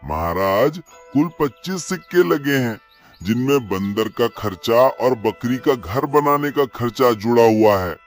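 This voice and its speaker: Man playing a sly fox with medium-pitched voice. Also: lively intonation, measured pace, faint music behind, close to an everyday voice. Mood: deferential. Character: sly, greedy fox, deferential royal minister of the jungle. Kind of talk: cartoon story character acting.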